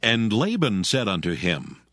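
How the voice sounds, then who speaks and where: Low solemn male scripture narrator. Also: huge pitch swings, unhurried, fairly bright tone, dry recording. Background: background music.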